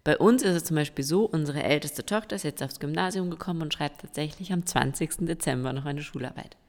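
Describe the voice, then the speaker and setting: A woman of around forty, hoarse and stuffy-nosed, hosting a solo podcast and encouraging her listeners directly. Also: low voice, fast talker. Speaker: podcast host. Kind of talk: solo podcast monologue giving tips. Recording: long reverb with faint music.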